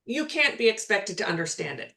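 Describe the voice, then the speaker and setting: A woman's voice: medium pitch, sing-song, brisk, vocal fry, pushed and bright. A middle-aged woman co-hosting a podcast, talking in a chatty, rambling way with frequent restarts and laughs. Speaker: podcast co-host, spiritual healer. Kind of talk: casual chat.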